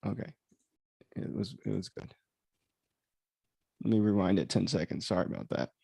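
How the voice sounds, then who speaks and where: A man's voice: lowish voice, normal speed, vocal fry, a little mellow, slightly rough, no room sound. A man hosting a livestream in casual, rambling chat, commenting on his own audio drama and easily amused.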